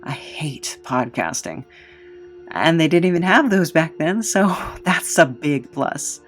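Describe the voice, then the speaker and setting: Actress as low-voiced, sardonic, rambling young misfit. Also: female voice, expressive, slow, faint music behind. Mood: rambling, quirky. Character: aimless, self-loathing, quirky woman with rambling wit. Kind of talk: naturalistic audio drama acting.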